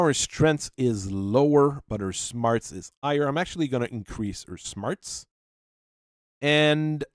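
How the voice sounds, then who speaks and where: A man at a middle pitch, thinking out loud as he builds a tabletop game character. He reads rules aloud in a halting, musing way. His voice is close and dry, with no room sound around it. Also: dry recording. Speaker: channel host. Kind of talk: talking through tabletop character creation.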